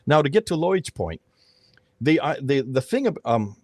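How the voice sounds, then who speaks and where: A man's voice: mid-range voice, sing-song, moderate pace, a little mellow, no room sound, clean studio sound. A middle-aged man hosting a panel discussion, speaking emphatically and repeating phrases for stress. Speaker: host of a panel discussion, street preacher. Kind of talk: animated casual panel talk.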